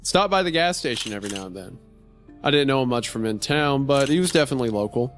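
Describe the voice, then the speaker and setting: A man reading as a deadpan gas station clerk, telling a strange story in the first person in a dry way. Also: medium pitch, fast, natural voice. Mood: dry, matter-of-fact. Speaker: podcast host reading a horror story aloud. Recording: music behind the voice.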